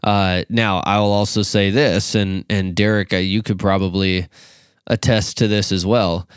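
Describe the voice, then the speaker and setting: A man's voice: lowish voice, little pitch movement, unhurried, clean tone, dry recording, clean studio sound. A man in his late twenties, a youth pastor co-hosting a podcast, talking casually with many fillers and restarts. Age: 20s.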